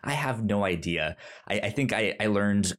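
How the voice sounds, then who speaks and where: Lower-voiced man with a stutter. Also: normal speed. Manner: heavy stutter on first sounds, many restarts; animated, searching for words. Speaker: podcast co-host. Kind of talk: casual film discussion.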